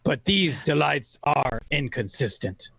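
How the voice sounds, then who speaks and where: A man narrates in the style of old-time radio theater, wry and oratorical. His voice is gravelly and sits a touch high, with very little room around it. He places his phrases deliberately. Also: animated, fairly bright tone, dry recording, poor audio.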